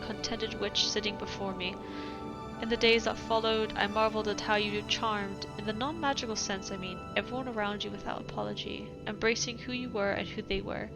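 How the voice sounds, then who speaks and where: A young woman with a mixed European accent, chatting casually and reading letters aloud while streaming a game, laughing often. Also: a little high. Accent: mixed European English. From British, raised elsewhere in Europe. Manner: chatty, giggly, many fillers. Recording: music playing behind.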